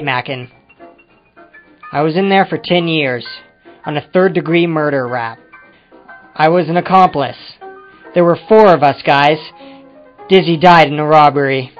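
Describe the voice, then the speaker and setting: An actor playing an evasive, touchy ex-convict in an old-time crime radio drama, speaking in a hesitant, defensive way. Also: male voice, a little high, close to an everyday voice. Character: evasive, touchy male ex-convict confessing to a detective. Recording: muffled amateur radio-drama recording with music.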